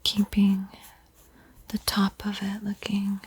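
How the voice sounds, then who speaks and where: A woman playing a gentle vampire art teacher in a whispered ASMR painting roleplay, soothing and unhurried, lingering over words. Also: medium pitch, completely flat delivery. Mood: calm, focused. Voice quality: breathy, mostly unvoiced whisper.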